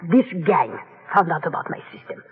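Through a muffled, phone-like old radio with long reverb comes an elderly lady. She is husky and high-voiced, theatrical and coquettish, with a proud, defiant streak. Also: big pitch swings, moderate pace, heavily acted. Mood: confiding, dramatic. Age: older. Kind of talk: 1940s-50s radio drama acting.

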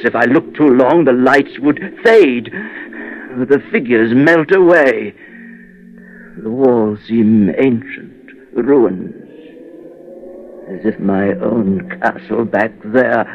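Tormented lonely outcast in an old radio horror play, gravelly, theatrical, swings from hushed awe to big cries. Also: male voice, medium pitch, background noise. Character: tormented lonely male outcast escaping into the world, awestruck and feverish. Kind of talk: dramatic old-time radio monologue, gothic horror reading. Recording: dull lo-fi vintage radio, music behind. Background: dramatic music.

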